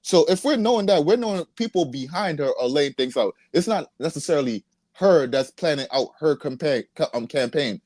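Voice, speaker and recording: A man's voice: fairly high pitch, lively intonation, a little fast, darkish tone, no echo. A man hosting a podcast, steering a casual political chat and interjecting with restarts. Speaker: podcast host.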